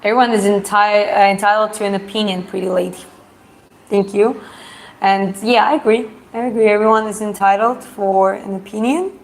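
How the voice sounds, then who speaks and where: A woman's voice: mid-range voice, steady tone, normal speed. Woman chatting casually. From Georgia. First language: Russian. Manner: hesitant phrasing, reads out viewer questions then answers frankly. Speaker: live stream host. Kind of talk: casual live Q&A chat.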